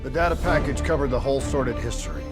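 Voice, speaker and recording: A man's voice: mid-range voice, quick pace, over music, close to an everyday voice. An actor playing a determined, earnest young male soldier in a video game cinematic. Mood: calm, businesslike.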